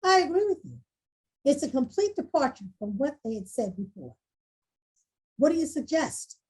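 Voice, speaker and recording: A woman playing an exasperated, defensive school principal in a table read, questioning forcefully. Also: sits high, very animated, normal speed. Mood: resigned, uneasy. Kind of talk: screenplay table read acting.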